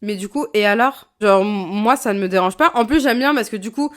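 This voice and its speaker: A woman's voice: medium pitch, brisk. A woman in her late twenties, a YouTuber answering viewer questions in a frank, chatty way, with many fillers and restarts. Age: late 20s. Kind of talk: casual Q&A vlog.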